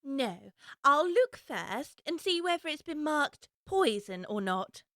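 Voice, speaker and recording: A woman's voice: sits high, very animated, takes it slowly, a bit bright, no room sound, studio quality, exaggerated voice. A woman playing a curious, prim young girl in an audiobook, talking earnestly and expressively to herself. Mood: cautious. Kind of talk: full-cast audiobook acting.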